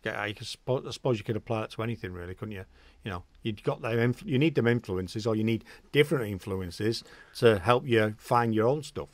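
A man's voice: lowish voice, fairly quick. A middle-aged man in his forties hosting an online radio show from a garden cabin studio, chatty and jokey, talking to a guest over a headset mic. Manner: chatty, jokey, says mate a lot. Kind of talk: casual interview chat. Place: small home studio cabin. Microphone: headset with desk mic, video call.

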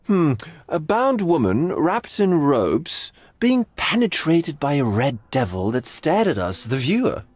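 An actor playing a cultured, philosophical gallery owner in a video game, pompous and languid, with dramatic pauses. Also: male voice, a little high, very animated, no echo. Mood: musing.

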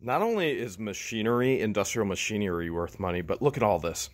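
A middle-aged man giving excited running commentary as he explores a big cluttered warehouse, filmed on a handheld camera. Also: lowish voice, fairly quick. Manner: enthusiastic, exclaims often, thinks aloud. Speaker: vlogger picking for resale. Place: large cluttered indoor warehouse.

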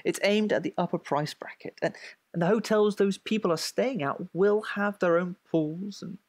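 A man's voice: high-pitched, moderate pace, no echo. A man playing a flirtatious, smarmy would-be investor with a suave manner in an audio drama. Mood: polite, sceptical.

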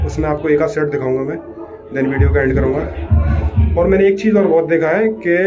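A man's voice: a little high, highs cut off. A young man talking casually to viewers as a fitness vlogger, mixing in English gym terms. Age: young adult. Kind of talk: casual vlog talk to viewers. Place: small changing room, indoors. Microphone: phone held at chest height.

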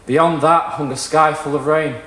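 A young man reading a literary short story aloud in a measured, dramatic way. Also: on the high side, steady tone, a bit bright, echoey room. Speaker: reader at a live short story event. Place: small indoor performance room with wood panelling.

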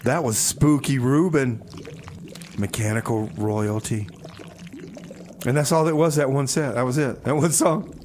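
A man's voice: medium pitch, unhurried, long pauses, noisy background. Rambling, folksy male radio host. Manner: rambling, repeats phrases, folksy. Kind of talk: casual community radio patter with comedy bits.

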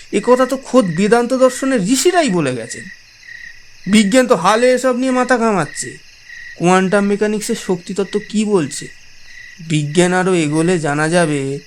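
A man's voice: sits high, slight background noise. A man playing a wry believer in ghosts, narrating a horror audio drama in a theatrical, teasing way. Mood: teasing, lofty.

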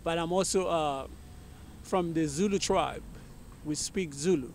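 A young man from Soweto, South Africa, a talk-show guest explaining his background in a relaxed, unhurried way into a handheld mic outdoors. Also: a little high. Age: young adult. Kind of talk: casual interview answers. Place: outdoors in a park lawn. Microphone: handheld microphone.

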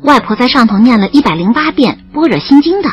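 Flustered, lively young female student. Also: high-pitched, fast talker, highs cut off. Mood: flustered, playful. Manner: stammering when flustered. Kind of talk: first-person audio drama narration and dialogue.

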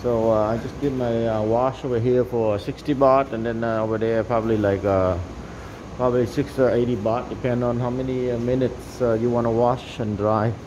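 A man narrating a casual walking tour outdoors, with many fillers and halting, simple phrasing. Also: medium pitch, fairly even intonation, dull audio. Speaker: travel vlogger. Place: busy city street, outdoors. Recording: loud street noise behind. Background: loud traffic and street noise.

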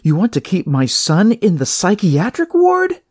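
A man puts on a frantic, protective mother for an audio story. The voice is raised high, insistent and indignant, with lively swings. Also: unhurried, slightly rough, no room sound, clean studio sound, full character voice. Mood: alarmed, indignant.

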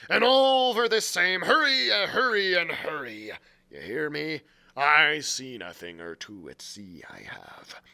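A man voicing a cunning old male pirate quartermaster in a loud, rolling pirate drawl. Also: on the high side, very animated, measured pace, creaky, full character voice. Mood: scornful.

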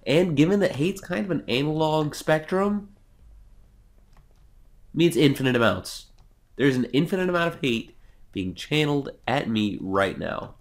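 A man's voice: fairly high pitch, animated, unhurried, pauses often. A young man with a cold, nasal and sniffly, giving casual, sarcastic let's play commentary and swearing now and then. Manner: sarcastic, self-mocking, swears.

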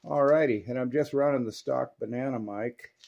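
A man's voice: mid-range voice, normal speed, vocal fry, muffled recording. An American man with a folksy, slangy way of talking, giving a casual hobbyist demonstration and chatting on CB radio. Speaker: radio repair technician and video host. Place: indoor radio workbench.

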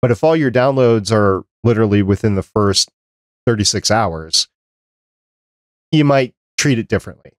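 Low-voiced middle-aged man, dry close sound. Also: lively intonation, normal speed, pauses often, dry recording. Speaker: podcast host. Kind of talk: conversational interview and advice. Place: small cluttered home office, video call. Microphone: desk microphone close up, headset.

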